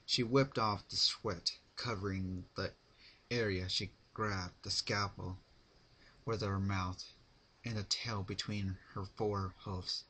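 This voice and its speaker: A man narrating a story as a dramatic reading, in deliberate, halting phrases. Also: deep voice, steady tone, sharp tone, slightly rough, rough recording. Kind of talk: dramatic fan fiction reading.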